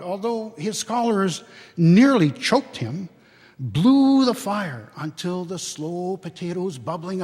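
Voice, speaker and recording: Older man reading a tale aloud slowly. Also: sits high, husky. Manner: slow, expressive storytelling. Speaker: dramatic reader. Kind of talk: dramatic reading of a classic novel. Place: church. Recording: long church reverb.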